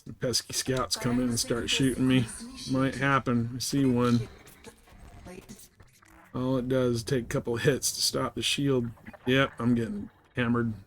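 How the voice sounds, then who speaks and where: A man's voice: mid-range voice, measured pace. A man casually commentating on his gameplay as a streamer, in a salty, profane, slangy way. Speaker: game streamer. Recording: steady background noise.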